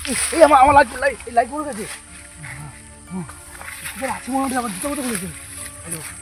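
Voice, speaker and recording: A man hosting a ghost-hunting vlog outdoors in the woods at night, jittery and excitable, repeating words. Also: very high voice, quick pace, over music. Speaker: vlogger host.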